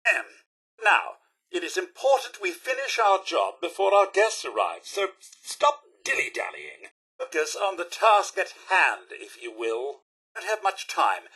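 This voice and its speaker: A fussy male robot butler from a video game, with a bright, high voice. He speaks prim British English in swooping, theatrical phrases, formal and deferential even when exasperated. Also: breathy edge, exaggerated voice. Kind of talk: video game character voice acting.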